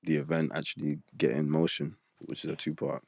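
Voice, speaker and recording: Deep-voiced playful podcast host man. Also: brisk, creaky, dry recording. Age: 30s. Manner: playful, animated, joking with the guest. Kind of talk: casual interview chat.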